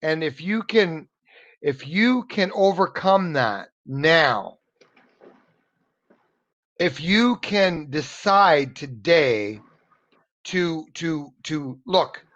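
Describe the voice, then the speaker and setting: A man's voice: on the high side, lively intonation, extremely slow, pauses often, fairly bright tone. An American man tutoring English in an online lesson, talking earnestly and encouragingly, often repeating words as he searches for the next one. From United States. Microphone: video call. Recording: dull, muffled video call audio.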